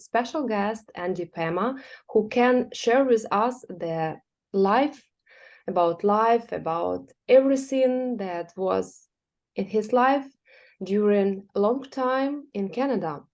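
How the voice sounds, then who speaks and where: A woman from Ukraine, hosting her own interview channel and speaking in halting sentences with many fillers. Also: mid-range voice, a little mellow. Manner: halting, filler-heavy speech. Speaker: channel host. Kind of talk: interview host.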